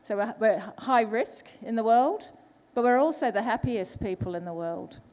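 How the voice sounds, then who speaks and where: Woman, from Vanuatu, panelist, smooth resonant voice, hall reverb. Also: fairly high pitch, animated, moderate pace, a little mellow. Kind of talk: spoken panel introduction. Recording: echoey hall.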